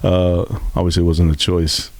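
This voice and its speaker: A man hosting a casual island music radio show, talking in a relaxed, halting way with many fillers. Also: low-pitched, no room sound. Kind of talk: casual talk show interview. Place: studio.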